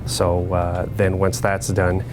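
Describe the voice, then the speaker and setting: Low-voiced man explaining things casually. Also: monotone, slow pace, background noise. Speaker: air traffic controller guest. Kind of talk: casual interview answers.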